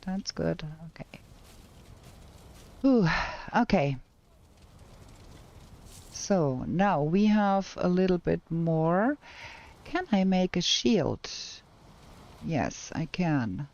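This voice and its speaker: A woman's voice: fairly low pitch, lively intonation, extremely slow, creaky, long pauses, a little mellow, muffled recording. A woman with a German accent streaming a game, thinking aloud and chatting with her viewers as she plays. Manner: talks to herself and chat while playing, thinking aloud. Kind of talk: casual gameplay commentary.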